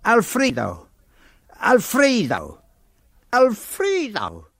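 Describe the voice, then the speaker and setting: A bumbling eager fool. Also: male voice, sits high, extremely slow, gravelly, no echo, studio quality, exaggerated voice. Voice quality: excitable, fumbling. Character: bumbling hapless man, eager and clumsy. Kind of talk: sitcom acting.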